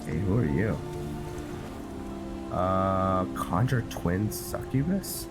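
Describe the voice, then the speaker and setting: A man with a very deep voice commentates casually on a video game. He speaks slowly, wandering and hesitating as he addresses himself and his viewers. Game music plays clearly behind him. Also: lively intonation. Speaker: gamer, host of a roleplay series.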